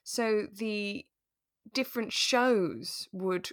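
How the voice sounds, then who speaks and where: A woman co-hosting a casual review podcast, chatting in a giggly, animated way with enthusiastic gasps. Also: medium pitch, extremely slow, no room sound, studio quality. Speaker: co-host of a radio drama review podcast. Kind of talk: casual chat.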